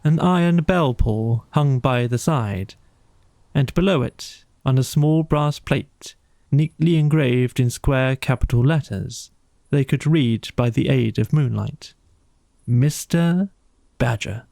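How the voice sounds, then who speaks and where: A man's voice: mid-range voice, slow pace, creaky, dark tone, dry recording. A man reading a children's bedtime story as scripted audiobook narration.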